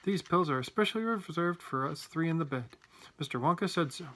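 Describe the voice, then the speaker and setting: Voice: mid-range voice, lively intonation, a little fast, close to an everyday voice. Reader putting on a bossy, indignant old grandmother. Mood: possessive. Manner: loud, indignant.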